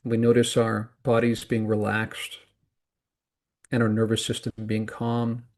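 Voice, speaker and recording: A man with a medium-pitched voice leads a guided meditation. He speaks in a calm, deliberate way, as a teacher would, breaking his instructions into short phrases and leaving pauses between them.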